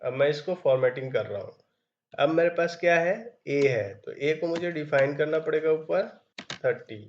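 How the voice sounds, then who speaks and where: A man's voice: a little high, animated, normal speed, dull audio, a little hiss or hum. A man teaching programming step by step, reading code aloud as he types. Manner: explains step by step while typing, reads code aloud. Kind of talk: screen-recorded coding tutorial.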